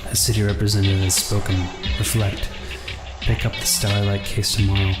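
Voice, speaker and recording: A man reading noir visual novel text aloud in a measured, deliberate storytelling way. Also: lowish voice, little pitch movement. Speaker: gaming video host. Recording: roomy echo under loud music. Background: loud game music with guitar.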